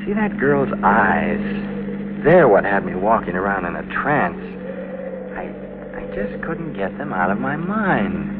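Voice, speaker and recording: A man's voice: fairly low pitch, very animated, a little slow, noisy background. An actor playing a bewildered, haunted young man in a vintage radio drama. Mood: dazed. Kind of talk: 1950s radio drama acting. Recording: muffled, band-limited old radio recording.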